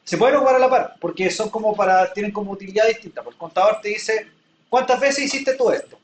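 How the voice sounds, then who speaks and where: A man's voice: high-pitched, fast talker, distant mic, a little hiss or hum. Man teaching in an echoey room. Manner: patient, explains with everyday examples, checks in with "¿ya?". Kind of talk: classroom lecture. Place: classroom.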